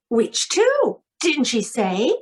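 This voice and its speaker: Woman acting an anxious, high-voiced carnival girl, lively pitch. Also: very slow, faint music behind, full character voice. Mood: alarmed. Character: anxious young female carnival snake charmer. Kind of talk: old-time radio noir acting.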